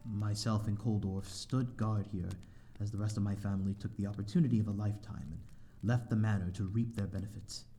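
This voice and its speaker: A man's voice: fairly low pitch, steady tone, fairly quick, hardly any pauses, mellow, faint music behind, natural voice. A man playing a lonely, courteous vampire in an audio roleplay, speaking in formal, old-fashioned, hesitant phrases to the listener. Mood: sombre, grieving. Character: lonely, courteous male vampire living in an abandoned manor.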